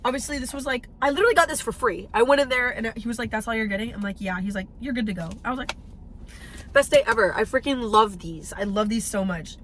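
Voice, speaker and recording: A woman's voice: on the high side, fast, fairly bright tone. A woman in her twenties chatting casually and emphatically while driving, blunt and swearing freely. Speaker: fitness vlogger. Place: in a car. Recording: faint car noise behind.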